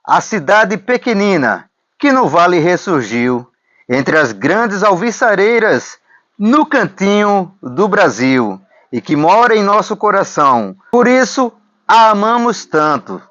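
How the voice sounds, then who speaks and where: Man, documentary narrator, unhurried, very expressive intonation, dry recording. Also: sits high, no echo. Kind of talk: scripted, celebratory narration. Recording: dry, dull lo-fi voice-over.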